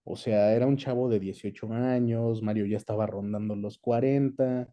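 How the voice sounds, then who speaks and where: A man's voice: fairly low pitch, fairly even intonation, normal speed, warm tone, muffled recording. A man with a Mexican accent chatting casually as an interview guest, recounting his experience. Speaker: guest, dubbing actor and director.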